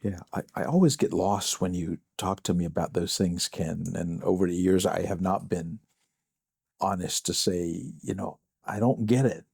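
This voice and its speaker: Earnest man with a mellow voice. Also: deep voice, steady tone, creaky, breathy edge, no echo, clean studio sound. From Samoa. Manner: earnest, self-deprecating, speaks in halting restarts. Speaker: podcast host. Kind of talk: casual faith podcast conversation.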